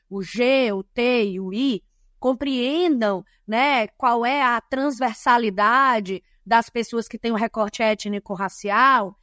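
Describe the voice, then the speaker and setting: A woman's voice: fairly high pitch, a bit bright, no echo, studio quality. A woman with a Bahian Brazilian accent, a guest on a podcast interview, explaining diversity and compliance in an emphatic, teaching way. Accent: Bahian Brazilian Portuguese. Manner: emphatic and didactic, lists points.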